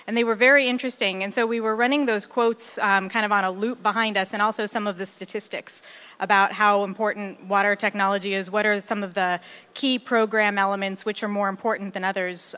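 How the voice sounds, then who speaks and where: A woman's voice: medium pitch, a bit bright, clean tone. A woman moderating a roundtable event, explaining and inviting participation in an informal way. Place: live event room with tables.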